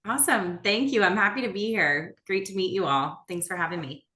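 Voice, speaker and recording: A woman's voice: medium pitch, fairly quick, pushed and bright. A woman representing a business service, talking casually and professionally on a networking video call. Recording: roomy echo, faint background noise.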